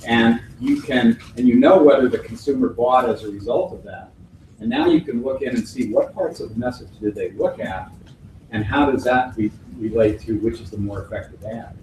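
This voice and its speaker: A man's voice: medium pitch, expressive, normal speed, darkish tone. A man speaking as a conference keynote speaker, answering audience questions in a thoughtful way, restarting phrases and using folksy sayings. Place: hotel conference hall. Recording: echoey hall from far off, faint noise.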